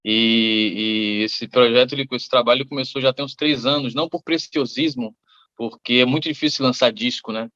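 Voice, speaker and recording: A man from Salvador speaks, talking slowly through his ideas as a musician in an interview. His voice sits at a middle pitch. The audio is a little dull and muffled, like a remote call. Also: steady tone, a bit bright. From Salvador, Bahia, Brazil. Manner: reflective, rambling, many fillers. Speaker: musician guest. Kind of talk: interview answers.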